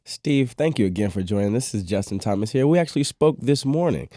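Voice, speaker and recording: A man's voice: medium pitch, animated, brisk, mellow, no echo, clean studio sound. A man hosting a sports interview in a casual, chatty, informal way. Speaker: sports show host, interviewer.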